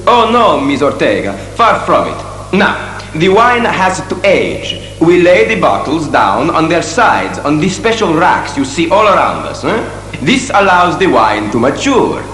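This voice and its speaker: A man's voice: a little high, big pitch swings, unhurried, fairly bright tone, reverberant, big-room sound, slight background noise, poor audio. Lilting genial winemaker, played by a man. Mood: genial, explaining. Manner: emphatic, hesitant asides, tag questions. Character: genial Italian male winemaker, eager to explain. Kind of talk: audio drama acting.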